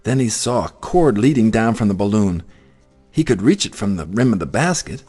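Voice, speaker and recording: A man narrating a children's story in an expressive, theatrical way. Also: on the low side, normal speed, husky, faint music behind. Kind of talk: dramatic children's story reading.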